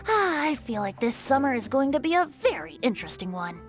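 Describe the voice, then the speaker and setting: A woman's voice: sits high, sing-song, a little fast. A woman voicing a playful, easygoing young male bard in a video game cutscene, with a teasing, lilting, theatrical delivery. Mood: cheerful teasing. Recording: background music under voice.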